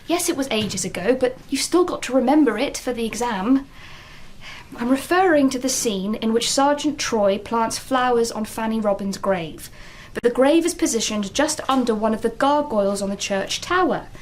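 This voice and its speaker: An actress playing an earnest, worried English teacher in a naturalistic British radio drama. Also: female voice, fairly high pitch, expressive, moderate pace, a little hiss or hum, close to an everyday voice. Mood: earnest, lecturing.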